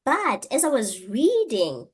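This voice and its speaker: A woman's voice: on the high side, huge pitch swings, slow pace, husky. Earnest woman thinking aloud. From South Africa. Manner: earnest, thinks aloud with rhetorical questions and frequent restarts. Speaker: guest, PhD candidate and lecturer. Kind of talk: reflective interview answer.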